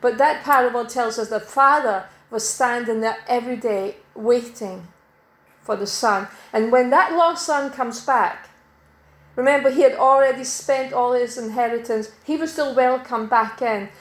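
A middle-aged woman from Denmark preaching a sermon in a church, measured and emphatic, slowing to stress key words. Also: fairly high pitch. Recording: echoey church hall.

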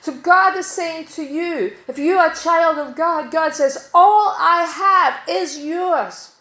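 A middle-aged woman from Denmark preaching a sermon in a church, measured and emphatic, slowing to stress key words. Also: very high voice, sharp tone. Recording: echoey church hall.

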